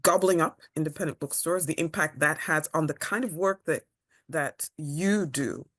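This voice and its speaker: A woman from Kenya, interviewing a guest over a video call, halting as she searches for words. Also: low-pitched, fairly bright tone.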